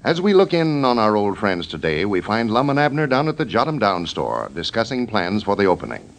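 A man's voice: fairly low pitch, big pitch swings, a little fast, slight background noise, poor audio. An American man reading scripted copy as a 1930s radio announcer, formal and emphatic. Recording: 1930s radio broadcast recording.

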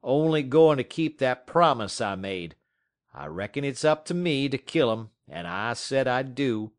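A man voicing a blunt, rough frontier dog musher who talks in a folksy drawl and drops his g's. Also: medium pitch, lively intonation, unhurried, voice pushed up, lightly acted. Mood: grim.

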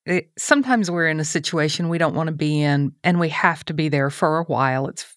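A middle-aged woman hosts a casual chat, thoughtful and encouraging as she speaks. Her voice sits very low for a woman. The recording is dry and close. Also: fairly quick, no echo.

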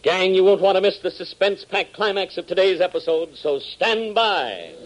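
A man's voice: sits high, animated, normal speed, slight background noise. An American man announcing and narrating a 1940s radio serial in a dramatic, emphatic scripted style. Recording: old 1940s radio transcription.

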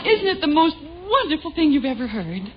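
A high, animated 1950s radio mom. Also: female voice, brisk, crowd noise. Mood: gushing. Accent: American. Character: proud, gushing, teasing suburban wife and mother. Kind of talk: 1950s radio sitcom acting. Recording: dull old radio broadcast.

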